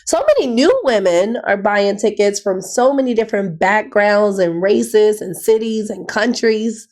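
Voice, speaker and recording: A woman hosting a podcast, talking in a casual, animated way. Her voice is naturally raspy and hoarse from a cold. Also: mid-range voice, unhurried. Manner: animated, storytelling with "girl" asides. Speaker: podcast host. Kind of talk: casual chat.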